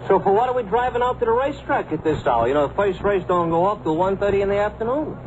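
An actor playing a brash, slangy, wisecracking driver sidekick in a 1950s radio drama. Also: male voice, high voice, lively intonation, quick pace, muffled recording. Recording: noisy, band-limited old radio transcription.

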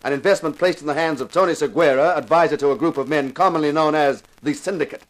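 An actor playing an intense television detective in a 1950s radio crime drama, narrating and questioning in a deliberate, insistent way. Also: male voice, on the high side, close to an everyday voice. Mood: stern, pressing.

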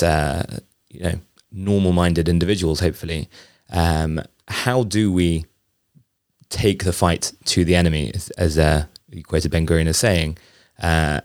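A man hosting a podcast interview, asking long questions in a halting way, with many restarts. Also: sits low, no echo, clean studio sound. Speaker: podcast host.

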